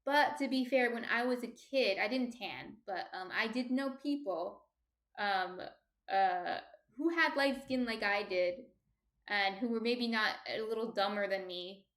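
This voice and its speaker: Woman, New Jersey accent, quiet and soft-spoken, mid-pitched, casual stream chat. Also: a little slow. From New Jersey, USA. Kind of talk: casual chat reading viewer comments.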